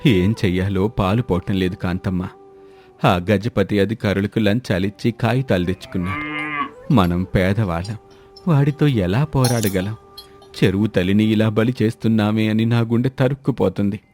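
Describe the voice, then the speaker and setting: Man acting a low-voiced, troubled village elder. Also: moderate pace, darkish tone, faint music behind, lightly acted. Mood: despairing. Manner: sorrowful, pleading. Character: worried, despairing male village elder.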